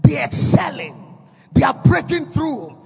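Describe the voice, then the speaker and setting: Man, Ghanaian accent, very high piercing shout, gravelly edge, long echo in a big hall. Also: animated. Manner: shouting, sing-song, with rapid bursts and repeated rising phrases. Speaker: preacher. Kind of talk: sermon. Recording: dull lo-fi sound with long hall reverb.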